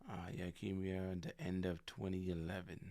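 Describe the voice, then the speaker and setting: Deep gravelly man. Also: brisk. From Bahamas. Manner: relaxed, reflective, repeats words as he thinks. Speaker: podcast guest. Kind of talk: casual conversation. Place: office conference room. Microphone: studio mic on a stand.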